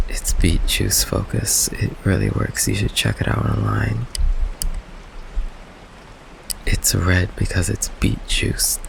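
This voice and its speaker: Gravelly, low-voiced nervous vampire boyfriend played by a man. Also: a little hiss or hum, full character voice, low-quality recording. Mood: nervous, evasive. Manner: stammering, halting, nervous chuckles, evasive. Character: nervous, affectionate goth vampire boyfriend, evasive young man. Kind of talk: ASMR roleplay, intimate one-sided conversation. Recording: rain ambience behind close voice.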